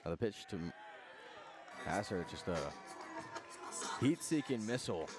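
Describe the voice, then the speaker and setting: A man's voice: lowish voice, very animated, measured pace, music in the background. A man doing live radio play-by-play commentary of a baseball game outdoors.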